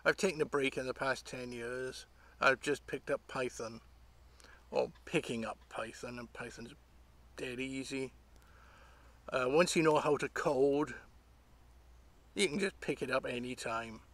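A man, talking casually and haltingly to camera outdoors, rambling as he thinks aloud. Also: medium pitch, fairly bright tone. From Great Britain. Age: 50s. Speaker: hobbyist vlogger. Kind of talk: casual unscripted talk to camera. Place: outdoors among trees.

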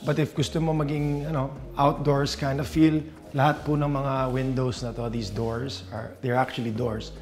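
A man's voice: mid-range voice, flat delivery, normal speed, hardly any pauses, a little mellow, faint music behind. A man in his forties with a Filipino English accent, giving a casual, chatty house tour and laughing often, recorded on a handheld camera in a large house. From Philippines. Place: large modern house, indoors and on a roof deck. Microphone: handheld camera following him.

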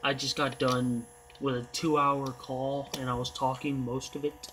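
Young guy with a hoarse voice, tired and rambly, talking over a racing game. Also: medium pitch, little pitch movement, a little slow, background noise. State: hoarse, losing his voice. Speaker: gaming channel host. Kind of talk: casual game commentary.

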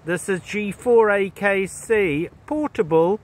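A man with a high voice speaks as an amateur radio operator during a contact. He talks haltingly and repeats his phrases, recorded outdoors on a seashore. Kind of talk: ham radio contact chat.

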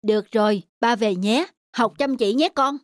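A woman's voice: a little high, moderate pace, no echo, clean studio sound, lightly acted. A woman voicing a caring middle-aged father, patient and encouraging, in a children's cartoon. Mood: concerned. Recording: music behind the voice.

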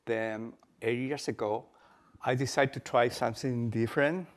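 A man from Chile presenting his own artwork to a room of people, talking slowly and haltingly with many pauses and "uh"s. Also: medium pitch, fairly even intonation. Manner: halting, pauses with "uh", soft-spoken. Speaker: guest artist presenting his work. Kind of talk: slow, unhurried artist talk with fillers.

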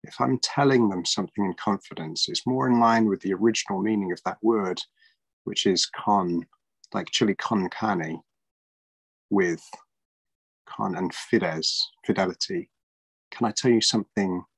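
A man's voice: low-pitched, measured pace, long pauses, fairly bright tone, husky, dry recording, low-quality recording. A middle-aged man hosting a podcast over a video call, speaking thoughtfully with many fillers and restarts. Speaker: podcast host. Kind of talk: casual interview chat. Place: small room at home.

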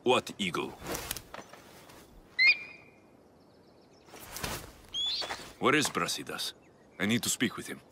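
An actor playing a tough warrior mercenary in a video game, blunt and demanding, with a hot temper. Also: male voice, deep voice, sing-song, fairly quick, heavy background noise. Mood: guarded, curt. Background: music.

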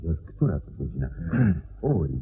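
Shrewd radio-play detective, deep voice, measured and polite, secretive, dull narrow recording. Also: male voice, very animated, husky, exaggerated voice. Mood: courteous, smooth. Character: shrewd, composed male private detective, courteous, secretive. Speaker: actor. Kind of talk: radio crime drama acting.

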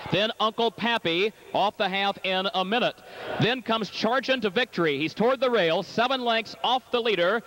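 A man's voice: high voice, a little hiss or hum, low-quality recording. A man working as track announcer, reading the entries and calling a harness race at a fair track. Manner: rapid, rising excitement in the stretch call. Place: racetrack announcer's booth at a fair.

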